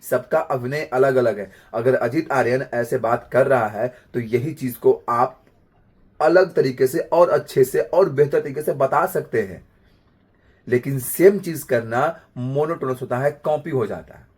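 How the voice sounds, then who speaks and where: A man with a slightly husky voice, giving an informal acting lesson in an emphatic way, repeating phrases and checking in with rhetorical questions. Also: mid-range voice, unhurried, darkish tone. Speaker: acting coach.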